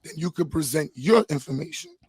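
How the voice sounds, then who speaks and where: A man with a fairly high, slightly hoarse voice is hosting a debate. He reads from sources and insists on his point, often repeating words. The sound is very dry, with no room around it. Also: wildly swooping pitch, fairly quick, a little mellow, no room sound. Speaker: debate host. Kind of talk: live debate, reading sources aloud.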